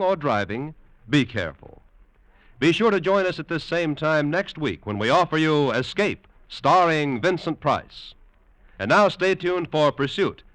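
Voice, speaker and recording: An American man announcing formally in scripted mid-century radio style, with measured, emphatic phrasing. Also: fairly high pitch, a bit bright, no room sound.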